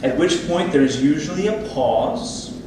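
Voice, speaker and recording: A man's voice: a little high, lively intonation, a little slow. A man playing a smug, ambitious plastic surgeon on stage, boastful and self-assured in a rhetorical, salesman-like delivery. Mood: self-assured pitch. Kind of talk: theatrical monologue at a staged play reading. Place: indoor theatre space, distant microphone. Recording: echoey, very noisy room from far off.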